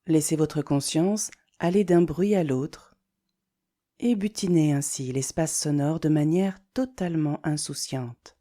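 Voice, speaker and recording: Woman doing guided meditation, really low soft-toned mellow voice, slow and soothing, lots of pauses. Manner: calm, soothing, measured, with deliberate pauses. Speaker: yoga nidra guide.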